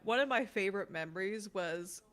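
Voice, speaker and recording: A woman's voice: medium pitch, animated, fairly quick, a bit bright. A woman chatting informally and reflectively as a panelist. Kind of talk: casual chat.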